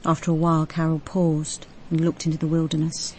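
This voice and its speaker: A woman's voice: sits low, monotone, a little fast, darkish tone, slight background noise, close to an everyday voice. An actress playing a composed, probing female detective in a first-person radio crime drama.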